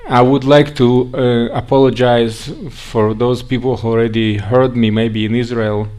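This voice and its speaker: A man with a Russian accent, raised in Siberia, giving a conference talk in a halting way with frequent restarts. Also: mid-range voice, steady tone, darkish tone. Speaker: guest speaker.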